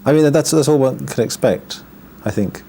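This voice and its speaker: A man from the north of England, a Buddhist monk, speaking in a documentary interview in a reflective, halting way, often restarting his words and adding wry humor. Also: medium pitch, creaky, dark tone, slight background noise. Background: background music.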